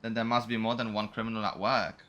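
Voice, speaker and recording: A man's voice: lowish voice, level tone, fast, pushed and bright, highs cut off. A young man giving casual, slangy gameplay commentary, musing aloud as he goes along. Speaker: game streamer.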